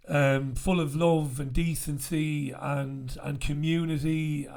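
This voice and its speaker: Croaky host guy, bit high voice, blunt and sweary, talking into a mic at home. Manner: chatty, blunt, swears freely. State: croaky after illness. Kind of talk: casual direct-to-camera chat. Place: small bright room indoors. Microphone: studio mic on stand in front.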